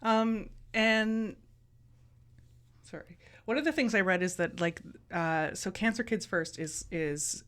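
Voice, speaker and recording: A woman's voice: mid-range voice, slow pace, fairly bright tone, smooth. A woman hosting a radio interview, friendly, asking questions and answering with short agreements. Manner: friendly, asks questions with brief agreeing responses.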